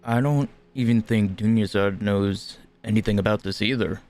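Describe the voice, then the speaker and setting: A man's voice: lowish voice, moderate pace, darkish tone. A man doing a casual game playthrough, reading out dialogue choices and commenting. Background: game music.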